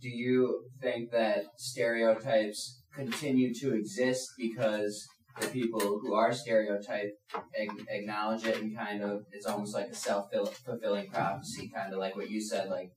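A male student asking a tentative question in a classroom. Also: fairly low pitch. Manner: tentative, searching. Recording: noisy echoey classroom from far off.

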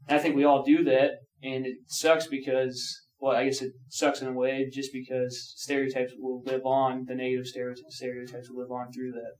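A man's voice: mid-range voice, a little mellow. A male student speaking casually and agreeably in a classroom discussion. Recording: distant mic with faint noise.

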